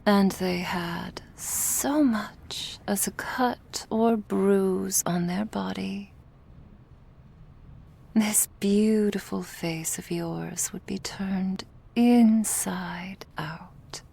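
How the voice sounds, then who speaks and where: A woman playing an imperious female vampire crime boss in an ASMR roleplay, with slow, formal, silky, threatening speech. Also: medium pitch, creaky, warm tone, a little hiss or hum. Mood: soft menace.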